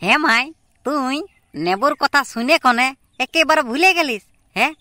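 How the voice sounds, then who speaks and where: A woman's voice: high voice, lively intonation, moderate pace, sharp tone, dry recording, clean studio sound. A woman voicing an indignant young village son in a cartoon comedy, arguing insistently in a Purulia Bengali accent. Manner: argumentative, repeatedly demanding agreement. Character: hot-headed, indignant young village son wanting marriage. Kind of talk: amateur cartoon comedy dialogue.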